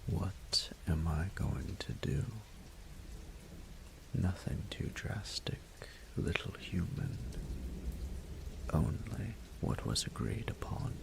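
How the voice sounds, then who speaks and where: A man playing a proud, menacing and seductive dragon ruler in a romantic audio roleplay, speaking formally in a breathy, gravelly half-whisper. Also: deep voice, full character voice. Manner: haughty, formal, deliberate.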